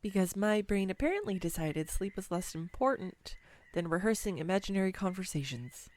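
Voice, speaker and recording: A woman playing a clumsy, nervous, giddy young woman on a first date in a romantic audio roleplay, rambling awkwardly and self-deprecatingly with halting stumbles. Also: medium pitch, animated, a little mellow, close to an everyday voice. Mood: nervous, confiding. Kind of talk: scripted romantic audio roleplay addressed to the listener.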